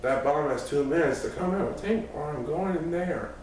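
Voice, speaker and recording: A man's voice: on the high side, moderate pace, darkish tone, lightly acted. A man voicing a hot-tempered, protective teenage big brother who snaps blunt threats in a storybook reading. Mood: angry, threatening. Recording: very echoey room, distant mic, faint noise.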